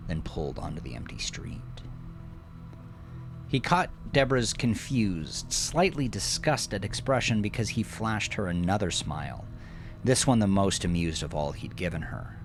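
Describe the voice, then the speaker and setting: A man's voice: deep voice, creaky. A man narrating scripted fiction in a dramatic style, as the narrator of an audio drama. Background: music.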